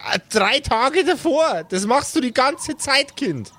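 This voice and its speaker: Man doing a high, chiding mother. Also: expressive, slow pace, pushed and bright, a little hiss or hum, full character voice. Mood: scolding. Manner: chiding, colloquial, swooping. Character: nagging middle-aged mother, fond but scolding.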